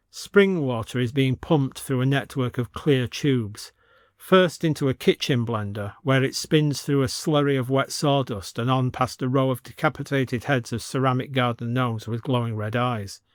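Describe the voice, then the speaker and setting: A man's voice: mid-range voice, no echo, studio quality. A man reading scripted narration for an audio story as the storyteller.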